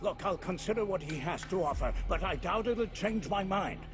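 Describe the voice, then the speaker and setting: An actor voicing a cantankerous, defiant old property owner in a video game, scornful and blustering. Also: male voice, high voice, animated, moderate pace. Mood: scornful, irritated. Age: older. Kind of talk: video game character acting. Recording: dramatic music behind the voice. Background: music.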